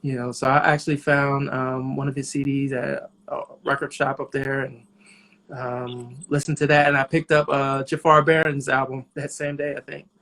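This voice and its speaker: Relaxed man in his thirties, musing. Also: mid-range voice. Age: 30s. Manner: relaxed, reflective, many filler pauses. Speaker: jazz trumpeter and bandleader, interview guest. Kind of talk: casual interview chat.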